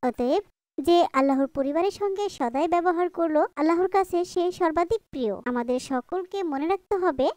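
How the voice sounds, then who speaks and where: Kind, pious young-family mother for a moral cartoon. High pitch, earnest teaching delivery, dry studio sound. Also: expressive, brisk, dark tone, dry recording. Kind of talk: Islamic moral cartoon voice acting.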